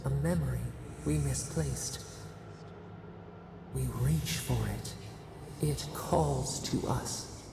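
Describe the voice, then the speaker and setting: An ancient game spirit broods in cryptic fragments, drawn out extremely slowly. The voice is heavily gravelled and creaky, solemn and otherworldly. It rings in a long, cavernous reverb over music. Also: medium pitch, fairly even intonation, background noise. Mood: brooding, solemn. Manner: slow, halting, cryptic short phrases. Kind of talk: solemn video game narration.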